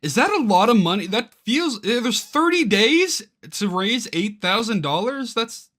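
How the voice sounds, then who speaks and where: A man giving casual reaction commentary as he reads aloud from a web page, excitable and quick to exclaim in disbelief. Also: high-pitched. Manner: excitable, easily incredulous, exclaiming. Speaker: YouTuber reacting to a game's crowdfunding page.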